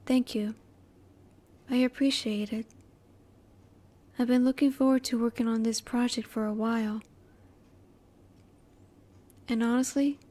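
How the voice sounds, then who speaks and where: A woman voicing a curious, reserved female alien scientist in a roleplay audio, speaking politely in formal, measured, halting phrases. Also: fairly high pitch, mellow. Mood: relieved, grateful. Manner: formal, measured, precise wording with halting pauses. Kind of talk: scripted roleplay audio, spoken intimately to the listener.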